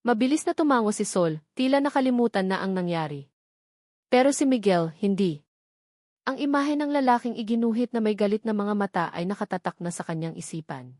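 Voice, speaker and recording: Female storyteller, scripted drama narration, steady dramatic reading, mid pitch, dry close sound. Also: brisk, dry recording.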